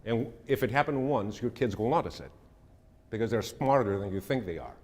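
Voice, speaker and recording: Low-voiced man in a reverberant hall. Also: lively intonation, a little fast. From Greece. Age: middle-aged. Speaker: speaker. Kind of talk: presentation with audience Q&A.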